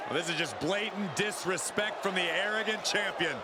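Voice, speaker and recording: A man's voice: sits high, moderate pace, lightly acted. A man giving emphatic, dramatic commentary and analysis in a wrestling video game. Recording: loud arena noise behind.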